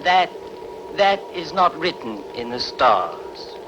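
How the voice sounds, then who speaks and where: Man acting slow-speaking, higher-voiced soothsayer. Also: big pitch swings, dull audio. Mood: solemn prophecy. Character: earnest male soothsayer pleading before a queen. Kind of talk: film dub acting. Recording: clearly noisy background.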